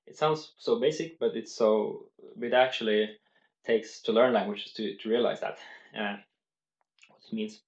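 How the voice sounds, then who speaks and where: A man's voice: fairly low pitch, darkish tone, echoey room, lo-fi sound. A man vlogging about language learning, speaking unscripted to the camera in an earnest way and explaining step by step, with many restarts.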